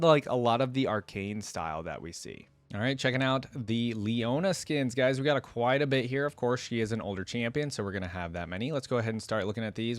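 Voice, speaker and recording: A man's voice: on the low side, no echo. A man hosting a reaction video, musing and thinking aloud in casual commentary.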